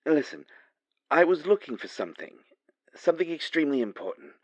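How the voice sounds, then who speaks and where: A man's voice: fairly high pitch, big pitch swings, fairly quick, no room sound, highs cut off. A man playing a worried, secretive ally in an audio roleplay, speaking urgently and dramatically as if leaving a phone message. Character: urgent, worried male ally delivering a grave warning.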